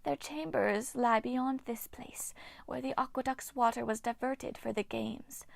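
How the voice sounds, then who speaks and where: A woman voicing a bitter, ancient female water nymph in a slow, hissing whisper. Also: fairly high pitch, steady tone, creaky, no room sound, voice pushed up. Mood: menacing.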